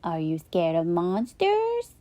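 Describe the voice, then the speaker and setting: A woman voicing an excited, insistent little boy in a storybook reading, with a high, eager, pleading manner. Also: slow pace, dry recording, highs cut off, exaggerated voice. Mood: caring.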